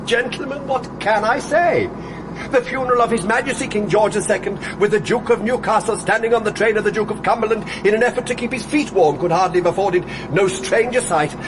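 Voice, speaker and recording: An actor playing a pompous, wordy, theatrical gravedigger boss in a radio drama. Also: male voice, high voice, brisk, background noise, natural voice. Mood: exasperated. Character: florid, jovial, pompous gravedigger boss who runs exhumations.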